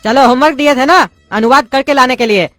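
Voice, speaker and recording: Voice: sits high, brisk, a bit bright, no room sound, faint music behind. A voice actor playing an irritable male English teacher, scolding his class in an exasperated tone.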